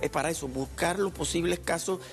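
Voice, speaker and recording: A man's voice: fairly high pitch, fairly quick, soft background music. A middle-aged man, a doctor explaining things in plain terms on a studio talk show. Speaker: infectious disease doctor guest. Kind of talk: explanatory TV interview.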